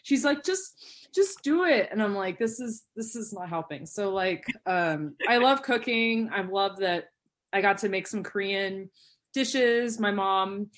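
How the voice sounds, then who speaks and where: A chatty woman on a casual podcast, her voice sitting in a middle range. She talks her way through anecdotes, breaks into laughter easily, and slips into quick impressions of other people. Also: medium pitch, lively intonation. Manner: chatty, laughs often, acts out quotes. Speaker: podcast guest, teacher.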